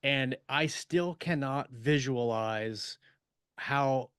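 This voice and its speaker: Man, podcast co-host, mid-pitched voice, casual chat, halting delivery, dry recording. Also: fairly bright tone, smooth, no room sound. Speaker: podcast co-host and science fiction writer. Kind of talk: casual shop-talk chat.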